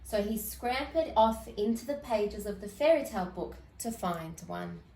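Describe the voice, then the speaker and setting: A woman reading a children's fairy tale aloud in an expressive storytelling style. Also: medium pitch, normal speed, creaky, roomy sound, distant mic, slight background noise, rough recording. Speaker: storybook reader.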